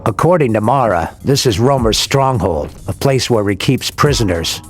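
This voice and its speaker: An actor playing a cold, authoritative intelligence director, speaking in a measured, formal way in a video game cutscene. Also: male voice, mid-range voice, expressive, natural voice. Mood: grave, measured. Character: cold, authoritative male intelligence agency director giving briefings. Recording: game audio with music underneath.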